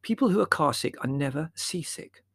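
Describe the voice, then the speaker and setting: A man's voice: on the high side, lively intonation, moderate pace, gravelly, a bit bright, husky, dry recording, studio quality, voice pushed up, exaggerated voice. A man voicing a fussy, irritable middle-aged mother in a storybook, explaining patiently and then snapping. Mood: irritable, fierce.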